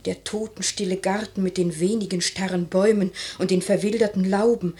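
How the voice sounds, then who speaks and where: Actress as gloomy lady's maid, middle-pitched female voice, frank, insistent, formal stage diction, classic radio drama. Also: fairly even intonation, fairly quick, warm tone, lightly acted.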